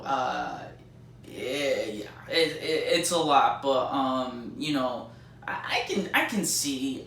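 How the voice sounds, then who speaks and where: A man's voice: mid-range voice, pushed and bright. A man giving a casual, rambling movie review with slangy, mildly sweary and emphatic fan enthusiasm. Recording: roomy echo, distant mic, faint noise.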